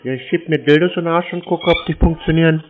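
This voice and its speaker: A man making a casual phone call in a deadpan, laconic way. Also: a little high, fast talker. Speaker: prank-call scam baiter. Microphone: telephone. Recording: tinny narrowband phone line.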